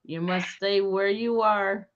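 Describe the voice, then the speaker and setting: A woman hosting a live paranormal investigation stream, talking in a calm, soothing way. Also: mid-range voice. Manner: slow, calm, soothing delivery. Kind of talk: live-stream ghost hunt chat. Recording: dull lo-fi stream audio.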